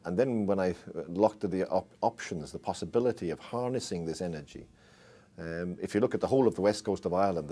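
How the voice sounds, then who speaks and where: A man in his sixties from Wales with a Welsh accent, answering interview questions in a relaxed way and repeating words as he starts. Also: low-pitched. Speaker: ocean engineer interviewee. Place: small indoor interview room.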